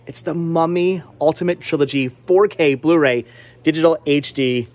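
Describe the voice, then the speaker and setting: A man's voice: fairly high pitch, moderate pace, darkish tone. A man in his forties chatting casually and playfully to a handheld camera in a shop, often interrupting himself as he rambles. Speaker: vlogger and movie collector. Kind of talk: casual chatty shopping vlog. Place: indoor video and game shop. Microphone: handheld camera at arm's length.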